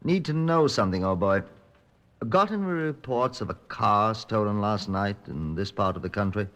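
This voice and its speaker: A composed British Scotland Yard chief inspector, speaking in a low voice. He is clipped, methodical and authoritative, in the manner of an old radio crime drama. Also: expressive, moderate pace, a bit bright. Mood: businesslike. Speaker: lead actor and narrator in a radio crime drama.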